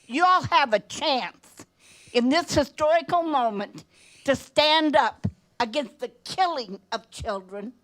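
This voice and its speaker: An older woman with a fairly high voice addresses a public meeting. She speaks very slowly and earnestly, pressing her points in short, emphatic phrases. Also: expressive, fairly bright tone, rough recording. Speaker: public commenter at a council meeting. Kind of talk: impassioned public comment speech.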